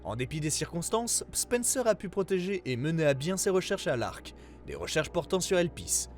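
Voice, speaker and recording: A man reading a scripted investigative report as narrator, in a formal, measured way. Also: on the high side, big pitch swings, soft background music.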